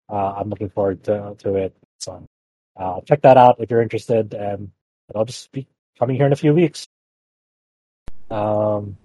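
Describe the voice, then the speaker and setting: A man's voice: medium pitch, dark tone, no echo, poor audio. Loose, filler-heavy male podcast host. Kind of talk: casual podcast chat.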